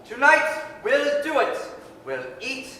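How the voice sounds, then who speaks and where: A man's voice: very high voice, sing-song, sharp tone, heavily acted. A jovial, elderly master in an old-time radio play. He hails everyone heartily with sweeping intonation. It is heard from afar in a very reverberant hall. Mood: jolly, rousing. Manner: hearty, exclamatory, drawn-out calls. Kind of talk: 1930s radio drama staged reading. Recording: echoey hall, distant, faint noise.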